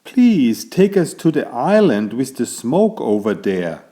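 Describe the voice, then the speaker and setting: A man's voice: medium pitch, very animated, slow, mellow, pitched above the performer's own voice. A man voicing an elegant, polite tomcat adventurer who is easily alarmed, in a theatrical children's storybook delivery.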